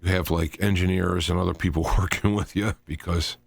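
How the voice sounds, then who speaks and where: A man hosting a talk radio show solo, speaking off the cuff in a halting, rambling way, often repeating and restarting phrases. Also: sits low, fairly even intonation, vocal fry, slightly rough, no echo.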